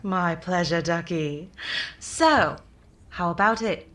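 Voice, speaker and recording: A woman's voice: fairly low pitch, slow pace, a bit bright. A woman playing a cheeky, shrewd witness in an old-time radio comedy, speaking in brash slang. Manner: cheeky, colloquial, addresses people as ducky.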